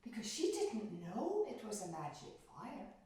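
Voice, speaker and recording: A woman telling a myth to children as a storyteller, expressive, deliberate and dramatic. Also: mid-range voice. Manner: deliberate, dramatic, with questions to young listeners. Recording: very echoey room, distant microphone.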